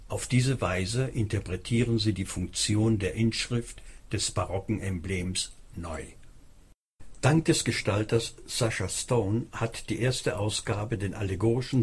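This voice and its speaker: A man's voice: fairly low pitch, husky. A man narrating a scripted radio documentary.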